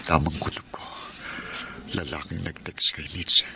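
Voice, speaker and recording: An actor playing a hurt, suspicious husband in a Tagalog radio drama, with an aggrieved, earnest delivery. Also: male voice, very deep voice, steady tone, measured pace, vocal fry, background noise, exaggerated voice. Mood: bitter, betrayed. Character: hurt, suspicious husband, a working man confiding his marital troubles.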